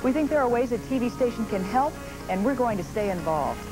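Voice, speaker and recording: A woman reading a scripted TV station promo, with loud music behind her on an old broadcast tape. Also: on the high side, animated, fairly quick. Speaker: TV station spokesperson.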